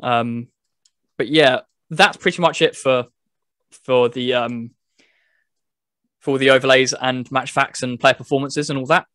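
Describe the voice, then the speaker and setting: A man's voice: mid-range voice, fairly even intonation, measured pace, pauses often, fairly bright tone, no room sound. A man hosting a podcast, explaining things in a casual gaming chat in loose, everyday wording. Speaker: podcast host reviewing a video game.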